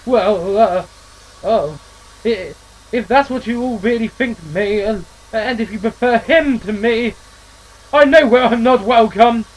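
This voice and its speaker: A man voicing a pompous, fussy male steam engine who is prim and quick to take offence. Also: high voice, a little hiss or hum, voice pushed up, poor audio. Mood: wounded. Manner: prim, fussy, plummy phrasing.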